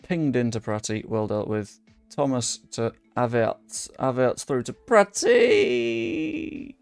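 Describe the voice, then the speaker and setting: Brief: young guy, gravelly, dry close mic, casual gaming commentary with faint music under it. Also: medium pitch, very animated, slow, no echo. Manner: chatty, swears under his breath, talks to viewers and chat. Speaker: gaming YouTuber. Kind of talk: casual live commentary over a football management game.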